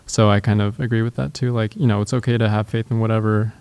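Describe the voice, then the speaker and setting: A man's voice: lowish voice, steady tone, fast, darkish tone, clear, resonant tone, no room sound. A man who grew up partly in Japan, talking in a casual group chat, hesitant with many fillers. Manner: hesitant, many ums and likes.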